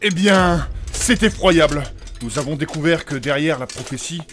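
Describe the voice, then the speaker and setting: A man's voice: on the high side, moderate pace, a bit bright, close to an everyday voice. A man voicing a pious, easily alarmed young adventurer in a comic fantasy audio drama, earnest and formal. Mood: grave.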